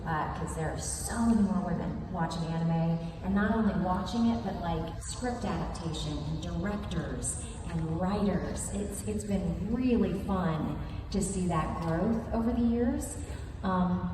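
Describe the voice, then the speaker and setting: A woman's voice: fairly low pitch, measured pace. Middle-aged woman panelist, chatty and upbeat, distant mic in a big echoing hall with crowd noise. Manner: chatty, enthusiastic, laughing often. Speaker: voice actor panelist. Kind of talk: convention panel talk. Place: large convention hall. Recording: echoey hall from far off, noisy.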